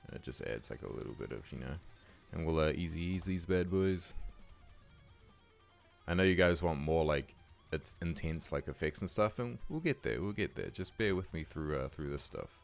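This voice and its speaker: Croaky, very deep-voiced laid-back man. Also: animated, moderate pace, soft background music. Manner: laid-back, many "like" and "um" fillers. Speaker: video editing tutor. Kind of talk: casual screen-recording tutorial voice-over.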